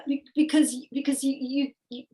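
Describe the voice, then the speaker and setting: Woman, New Zealand accent, high voice, earnest chatty interview guest, middle-aged. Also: little pitch movement, normal speed, warm tone. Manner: chatty, earnest, repeats words and adds "right?". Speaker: music manager guest. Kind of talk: casual interview chat.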